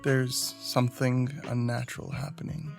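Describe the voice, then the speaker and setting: Guy acting as troubled earnest cop, audio drama, creaky voice over guitar music. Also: mid-range voice, little pitch movement, normal speed, lightly acted. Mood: troubled, apologetic. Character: troubled, earnest male police detective seeking help.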